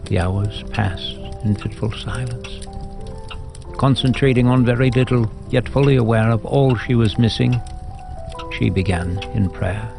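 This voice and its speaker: A man narrating a scripted audio drama as a storyteller, in a measured, reflective manner. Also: mid-range voice, creaky. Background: music.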